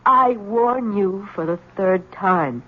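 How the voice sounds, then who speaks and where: A woman's voice: mid-range voice, takes it slowly. An actress playing a guarded, troubled married woman in a 1970s radio drama, earnest and defensive. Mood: anxious warning. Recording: dull, noisy old radio broadcast.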